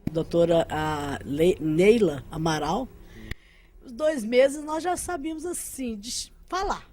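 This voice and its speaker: Female guest, Arabic mother tongue, medium pitch, recalling her life conversationally and earnestly, with hesitant restarts. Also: a little mellow. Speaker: interview guest. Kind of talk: personal recollection in conversation.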